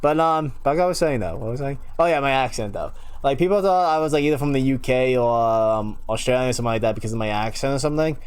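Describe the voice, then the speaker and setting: Smooth-voiced young man chatting on stream. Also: medium pitch, fast, hardly any pauses. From United States (New York). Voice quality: slight unusual lilt left from a childhood speech impairment. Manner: rambling, loses his train of thought, chuckles often. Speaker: live streamer. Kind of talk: casual rambling chat to viewers.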